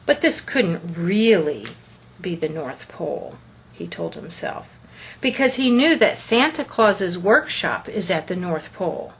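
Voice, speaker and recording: A woman reading a children's picture book aloud as a storyteller, with deliberate, expressive phrasing. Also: on the low side, slight background noise.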